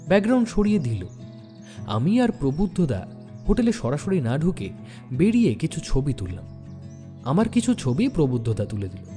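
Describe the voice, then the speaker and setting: An actor playing a detective's observant young sidekick, narrating an audio story in a reflective, expressive way. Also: male voice, on the high side. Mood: musing.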